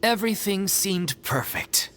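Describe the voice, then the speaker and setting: A woman's voice: mid-range voice, lively intonation, measured pace, a bit bright. A woman playing an earnest theater troupe member in a video game, explaining things patiently. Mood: storytelling. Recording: music behind the voice.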